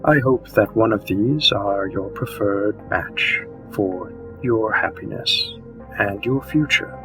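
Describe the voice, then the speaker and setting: Man playing a slow, low-voiced formal matchmaker. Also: over music, low-quality recording. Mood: courteous, hopeful. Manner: measured, deliberate, formal. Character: courteous, slow, formal monster matchmaking presenter, male. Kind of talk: scripted roleplay narration.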